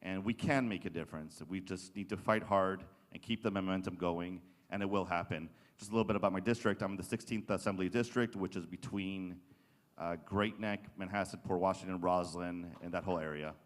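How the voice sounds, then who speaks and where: Deep-voiced man speaking in an echoey hall. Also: steady tone, a little fast. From Israel. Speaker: state assembly member, panel speaker. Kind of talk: semi-formal political speech to an audience. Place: large indoor conference session room. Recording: echoey hall with long reverb.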